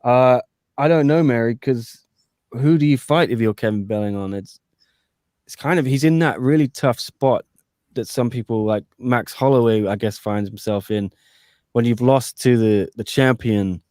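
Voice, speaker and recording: A man's voice: medium pitch, moderate pace, darkish tone, no echo, clean studio sound. A man co-hosting a post-fight podcast as a sports reporter, chatting casually with jokey asides to listeners.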